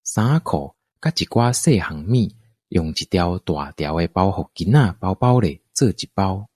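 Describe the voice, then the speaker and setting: A man narrates a scripted adventure tale with a Taiwanese accent. His voice is fairly low and husky, recorded dry and close. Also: lively intonation, measured pace, a little mellow, no echo.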